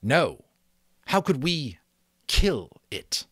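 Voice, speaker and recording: Give this storybook role a commanding young chief acted out by a man. The boy's voice sits well above an adult man's usual pitch, swinging widely as he issues solemn, emphatic orders to his tribe. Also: very animated, extremely slow, fairly bright tone, slightly rough, no echo, clean studio sound, heavily acted.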